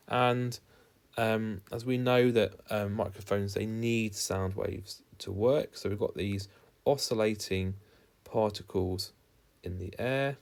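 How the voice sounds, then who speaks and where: A man's voice: fairly low pitch, a little mellow. A man giving an explanatory lesson as a teacher, talking informally and stressing key words. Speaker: physics teacher.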